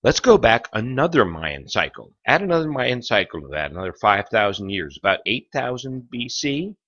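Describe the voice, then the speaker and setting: A man narrating a lecture in a theatrical, suspenseful documentary style, pausing for effect between phrases. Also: lowish voice, big pitch swings, fairly bright tone, highs cut off. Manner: theatrical, with rhetorical questions and suspenseful pauses.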